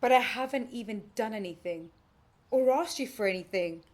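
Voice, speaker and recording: A woman's voice: fairly high pitch, lively intonation, normal speed, a little mellow, close to an everyday voice. A woman playing a lonely, earnest would-be girlfriend in a naturalistic audio play, speaking tentatively and pleadingly. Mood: hurt. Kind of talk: naturalistic audio drama acting.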